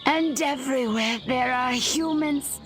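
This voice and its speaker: An actress playing a defeated but defiant, contemptuous secret agent in a video game, speaking in a dramatic, halting, scornful way. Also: female voice, high-pitched, fairly bright tone, soft background music. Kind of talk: video game cutscene acting.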